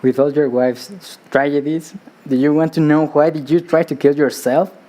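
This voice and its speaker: A man playing a measured, authoritative hospital doctor attending a patient in a radio drama. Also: on the high side, fairly even intonation, dark tone, lightly acted. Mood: stern. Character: calm, firm psychiatric doctor checking on a patient.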